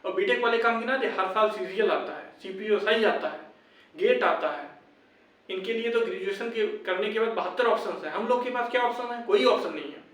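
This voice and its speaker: A man's voice: high voice, a little fast, fairly bright tone. A young man, talking informally and insistently to his viewers, repeating his points as he gives advice and urges them on. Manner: insistent, repetitive, addresses viewers as brothers. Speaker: YouTube exam-update presenter. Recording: very echoey room, distant microphone.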